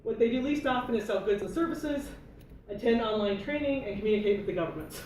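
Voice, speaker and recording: A woman's voice: fairly high pitch, animated, fast. A woman presenting survey results to a meeting, chatty and laughing often. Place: meeting room. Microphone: room mic from afar. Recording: roomy, distant, noisy room recording.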